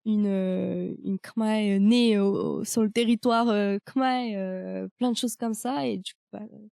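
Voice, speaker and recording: Mellow-voiced young woman, halting and hesitant. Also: mid-range voice, fairly even intonation, vocal fry, smooth, no echo, clean studio sound. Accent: French. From France. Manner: hesitant, many fillers. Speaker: guest. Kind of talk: casual conversation.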